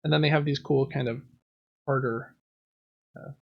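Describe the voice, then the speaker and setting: A man's voice: medium pitch, steady tone, moderate pace, vocal fry, dry recording, muffled recording. A man hosting a casual board game podcast, chatting and explaining things in a conversational way. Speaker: podcast host.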